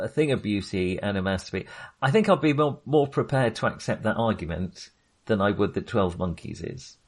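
A man co-hosting a podcast in casual film discussion, hesitant and often correcting himself as he qualifies his opinions. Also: fairly low pitch. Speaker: podcast co-host.

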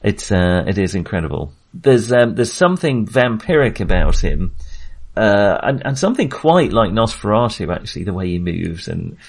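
A man's voice: lowish voice, big pitch swings, normal speed, creaky. A man in a casual film discussion, hesitantly searching for his words and often rephrasing as he goes. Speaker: film podcast host.

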